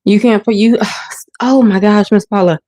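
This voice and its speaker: A woman's voice: mid-range voice, steady tone, normal speed, mellow, dry recording. A woman hosting a casual livestream from her kitchen, a bit stuffy and sniffling, rambling with many ums and restarts. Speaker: livestream host. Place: home kitchen. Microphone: phone or webcam while washing dishes.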